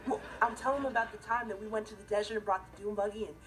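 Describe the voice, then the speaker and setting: A man's voice: high voice, fairly even intonation, racing, natural voice, low-quality recording. A young male actor playing an earnest, responsible, easily exasperated teenage stepbrother, telling stories with emphatic comic timing in a TV sitcom. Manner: emphatic, theatrical comic delivery. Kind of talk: scripted comic monologue in a TV sitcom. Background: music and laughter.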